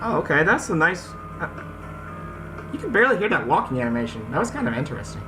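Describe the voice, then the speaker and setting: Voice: mid-range voice, very animated, quick pace, rough recording. A gaming host reading a visual novel aloud in a let's-play, stumbling over some words and talking straight to viewers. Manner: reads text aloud, stumbles on words, addresses viewers directly. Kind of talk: let's-play narration with casual asides. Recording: music behind the voice. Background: visual novel game music.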